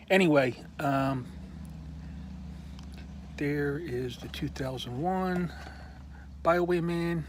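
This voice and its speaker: Slow halting man losing his voice. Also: fairly high pitch, slight background noise. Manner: slow, halting, many ums and uhs, frequent throat clearing. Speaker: collector showing his toys. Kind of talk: casual show-and-tell.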